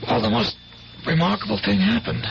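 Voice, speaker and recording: An actor playing an earnest, dreamy and evasive painter in a 1970s radio drama, with a halting, dramatic delivery. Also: male voice, high-pitched, vocal fry. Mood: rapt, intense. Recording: muffled 1970s radio broadcast, faint hum.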